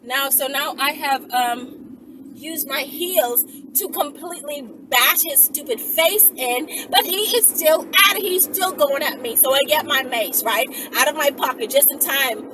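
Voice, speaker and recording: A woman telling a story casually to her viewers in an animated, emphatic, sweary manner. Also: high-pitched, a little slow. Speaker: channel host. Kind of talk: casual self-defense storytelling, acted-out scenario. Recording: distant mic with clear background noise.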